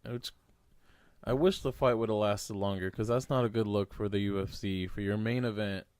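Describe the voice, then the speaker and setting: A man's voice: lowish voice, steady tone, moderate pace, darkish tone. A young man co-hosting a casual podcast, laid-back and agreeable, often backing his partner with short yeahs. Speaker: podcast co-host. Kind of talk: casual chat.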